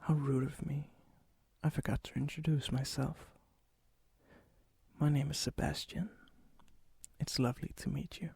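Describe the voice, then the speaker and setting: Breathy, husky vampire charmer, played by a man. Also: fairly high pitch, flat delivery, mellow, no room sound, studio quality, full character voice. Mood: flirtatious, courteous. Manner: slow, intimate, teasing, old-fashioned courtesy. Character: charming, ancient male vampire, flirtatious hopeless romantic. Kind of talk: soft-spoken ASMR roleplay, often whispered. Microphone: close mic, dry sound.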